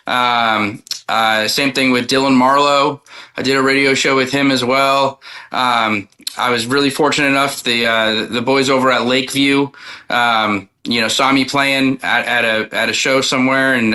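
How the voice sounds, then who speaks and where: Male country songwriter guest, medium register, bright forward tone, rambling conversational storyteller. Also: mid-range voice. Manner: loose, rambling, informal. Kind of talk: casual interview chat telling personal stories.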